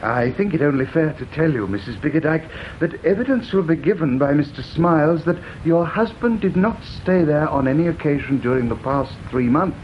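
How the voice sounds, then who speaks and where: An actor playing a precise, officious male coroner in a radio drama, speaking formally and deliberately. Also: a little high, lively intonation, lightly acted. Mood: formal, probing. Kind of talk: BBC radio drama acting, formal questioning and ruling. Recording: dull, slightly noisy radio recording.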